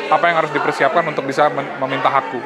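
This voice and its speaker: Quick man over a loud crowd. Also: a little high, level tone. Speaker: union member. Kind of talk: personal testimony.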